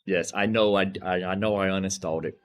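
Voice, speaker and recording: A man's voice: low voice, fairly even intonation, brisk, slight background noise. A man giving casual, rambling gameplay commentary as a live streamer, often repeating himself in an easygoing way. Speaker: live streamer playing a video game.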